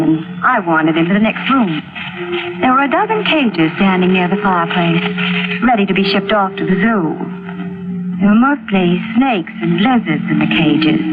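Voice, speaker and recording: An actress playing a fragile, haunted young wife who narrates in a dramatic 1940s radio mystery style. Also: female voice, mid-range voice, heavy background noise, close to an everyday voice. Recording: tinny vintage radio recording with loud music.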